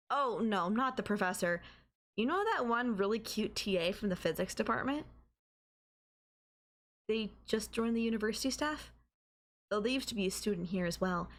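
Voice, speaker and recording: A woman's voice: medium pitch, natural voice. Coy, teasing young girlfriend played by a woman. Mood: sweet, casual. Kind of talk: intimate anime-style audio roleplay.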